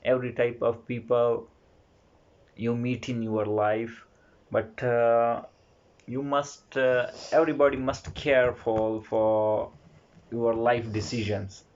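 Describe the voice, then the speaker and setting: Halting man in English. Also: medium pitch, little pitch movement, darkish tone, muffled recording. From Pakistan. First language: Pashto. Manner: halting, repetitive non-native English with many fillers. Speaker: vlogger's husband. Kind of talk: casual couple Q&A chat.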